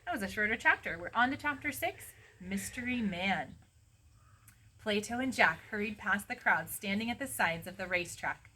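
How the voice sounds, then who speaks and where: Woman, bright forceful voice, children's read-aloud, teacherly asides, background noise. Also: medium pitch, animated, normal speed. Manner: teacherly, explains and prompts listeners. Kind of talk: expressive storybook reading with asides to young listeners.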